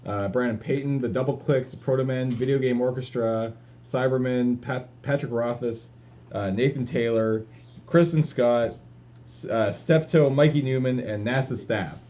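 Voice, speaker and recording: A man's voice: medium pitch, moderate pace, mellow. A man hosting a livestream with casual, ad-libbed chat and a wry, offhand manner. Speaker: livestream host. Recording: clearly noisy room ambience.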